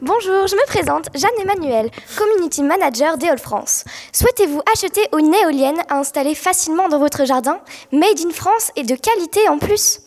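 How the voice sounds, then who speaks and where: A teenage woman playing a polished community manager giving a scripted sales pitch in an audio drama. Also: lively intonation, moderate pace, darkish tone, slight background noise. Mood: promotional. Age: teens.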